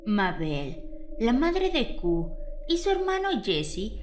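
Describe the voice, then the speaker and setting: A woman playing an elderly grandmother who recounts a grim horror tale in a measured, dramatic way with weighty pauses. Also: on the high side, huge pitch swings, background noise, heavily acted. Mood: somber, hushed. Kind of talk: dramatic horror audio fiction narration. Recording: eerie music behind the voice.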